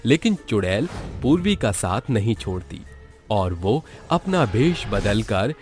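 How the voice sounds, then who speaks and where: A man's voice: fairly low pitch, sing-song, a little slow. A man narrating a cartoon moral horror story in a dramatic, scripted storytelling style. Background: background music.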